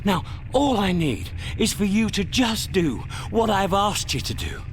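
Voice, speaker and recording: An actor playing an exasperated intergalactic hitchhiker in a radio comedy drama, indignant, wordy and sardonic. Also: male voice, high voice, wildly swooping pitch, unhurried, a little hiss or hum, heavily acted. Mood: insistent. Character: exasperated male intergalactic hitchhiker arguing with an operator.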